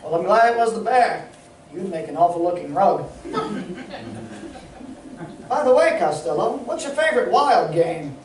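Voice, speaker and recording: A performer playing an exasperated straight man and announcer in old-time radio style, with deliberate theatrical delivery. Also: medium pitch, expressive, a little mellow, lightly acted. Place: community theatre stage. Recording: echoey stage from far off, faint noise.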